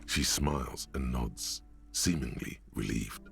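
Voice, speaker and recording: A man voicing a game's narrator, with a deep gravelly voice, reading scripted scene descriptions. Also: slow pace, soft background music, full character voice. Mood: somber. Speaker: game narrator.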